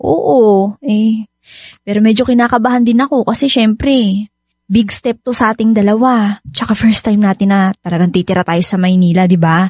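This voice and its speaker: A woman playing an excited, loving young bride-to-be who teases her friend, in radio drama style with a Filipino accent and a casual, expressive delivery. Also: medium pitch, moderate pace, no echo, clean studio sound, close to an everyday voice. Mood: reassuring, affectionate.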